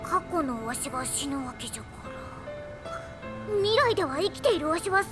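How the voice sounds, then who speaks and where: A woman's voice: high-pitched, very animated, fairly quick. A woman voicing a small, haughty, girlish game character who talks in a pompous, archaic old-timer manner, in anime style. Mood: baffled. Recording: music under the voice. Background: loud game music.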